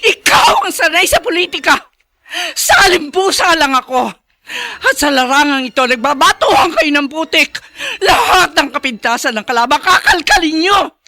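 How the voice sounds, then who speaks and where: A high-voiced, bitter woman, accusing with real force. Her tone is sharp and bright, recorded close and dry, with the emphatic delivery of radio melodrama. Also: very animated, normal speed, no echo, exaggerated voice. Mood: bitter, anguished. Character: bitter, accusing woman drawn into dirty politics. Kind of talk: Tagalog radio drama acting.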